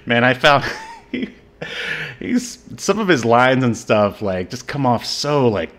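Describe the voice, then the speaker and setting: A man's voice: medium pitch, very animated, slow pace, fairly bright tone. A man co-hosting a podcast in casual chat, laid-back and hedging with frequent fillers. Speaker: podcast co-host.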